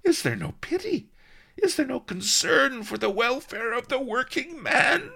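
A man's voice: very high voice, very animated, a little slow, gravelly, sharp tone, breathy edge, pitched above the performer's own voice, exaggerated voice. Man doing a whining, aggrieved fat executioner. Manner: whining, indignant complaining. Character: fat grumbling male executioner, aggrieved, overworked.

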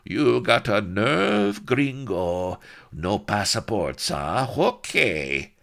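A man's voice: lowish voice, sing-song, drawn-out words, gravelly, a bit bright, voice pushed up, heavily acted. A man voicing a sneering, bullying Spanish corporal in broken English with a Spanish accent, for a comic story. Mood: menacing. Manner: broken English, roaring and scornful.

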